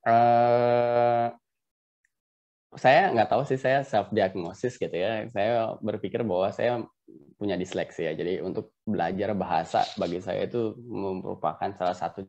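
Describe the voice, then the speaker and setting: Man, Indonesian accent, lowish pitch, dark relaxed tone, casual self-deprecating storytelling, muffled lo-fi call audio. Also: a little slow, creaky, pauses often. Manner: self-deprecating, chuckles often. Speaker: interview guest.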